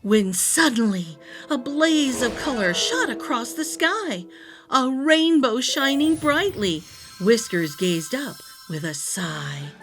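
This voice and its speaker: A woman narrates a children's rhyming story with dramatic, deliberate phrasing. Her voice is fairly high and swings widely, at an unhurried pace. Faint music plays behind her. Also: expressive. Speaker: storytime reader. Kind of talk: expressive rhyming picture-book narration for young children.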